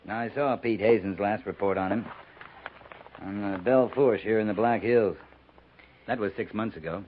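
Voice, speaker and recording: An actor playing a seasoned, understated frontier cavalry captain in a 1950s radio western, speaking in a clipped, dry way. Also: male voice, on the low side, normal speed, close to an everyday voice. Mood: dry, matter-of-fact. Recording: muffled, noisy 1950s radio recording.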